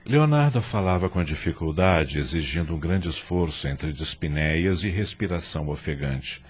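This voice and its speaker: A man's voice: a bass voice, animated, normal speed, breathy edge. A man narrating an audio novel in a dramatic, scripted storytelling style. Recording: music bed behind narration.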